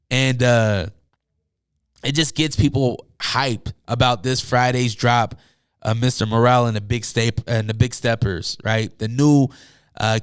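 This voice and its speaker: Hoarse man chatting on a podcast. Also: mid-range voice, no echo, clean studio sound. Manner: loose and rambling, full of fillers and asides. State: hoarse, losing his voice. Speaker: podcast host. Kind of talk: casual solo podcast chat. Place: home room.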